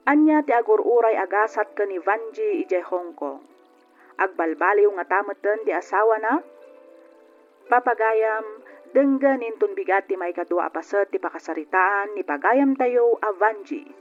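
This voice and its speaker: A woman's voice: medium pitch, expressive, moderate pace. A woman hosting and narrating a radio drama programme in a scripted style. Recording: dull lo-fi radio recording. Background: faint music.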